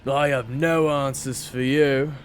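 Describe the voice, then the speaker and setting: A man acts out a gruff working man in an audio roleplay. The voice sits at a middle pitch, blunt and curt, full of distrust and menace. Light music runs behind it. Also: steady tone, takes it slowly, close to an everyday voice. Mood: hostile. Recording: background music.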